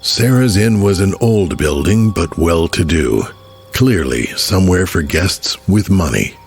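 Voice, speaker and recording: A man's voice: low voice, animated, a little slow, gravelly. A man reading scripted story narration as the narrator of an audio drama. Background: music.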